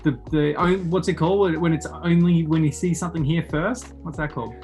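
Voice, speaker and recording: Male podcast host, Australian, late 20s. Fairly high register, keen and informal, loose rambling delivery over faint music. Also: steady tone, a little fast, a little mellow. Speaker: podcast host interviewing a guest. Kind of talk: casual interview chat.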